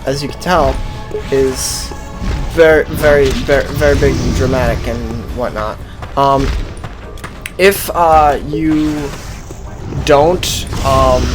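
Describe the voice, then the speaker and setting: A young adult gaming streamer giving casual, sweary gameplay commentary in a sarcastic, mocking way. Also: medium pitch. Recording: voice over game music.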